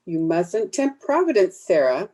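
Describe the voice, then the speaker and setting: Woman playing wistful, mellow-voiced young cousin, swooping pitch. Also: very animated, measured pace. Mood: uneasy. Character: plain, wistful young bridesmaid cousin, gloomy and self-effacing. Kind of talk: old-time radio drama acting.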